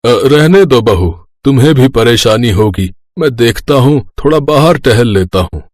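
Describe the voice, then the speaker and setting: A man's voice: mid-range voice, animated, a little slow, darkish tone, no echo. Man acting a lecherous father-in-law, dry close sound. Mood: feigned unease. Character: lecherous, scheming middle-aged father-in-law. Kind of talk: Hindi moral-story cartoon acting.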